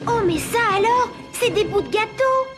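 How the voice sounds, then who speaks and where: A woman voicing a bright, studious schoolchild in a French cartoon dub, explaining facts eagerly. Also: very high-pitched, expressive, moderate pace. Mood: surprised. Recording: music playing behind the voice.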